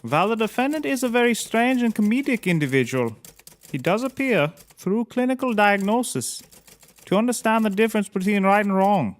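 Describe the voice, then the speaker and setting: A man's voice: high-pitched, lively intonation, moderate pace. A man acting as a fussy, clinical psychologist in a scripted comedy, speaking formally.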